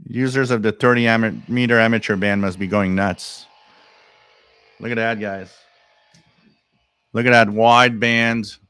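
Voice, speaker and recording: Male live-stream host, smooth and clear, dry close mic, rambling and musing, gets worked up over odd signals. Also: fairly low pitch, fairly even intonation, unhurried, pauses often, a bit bright, dry recording. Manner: musing, thinks aloud, repeats phrases. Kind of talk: casual live commentary.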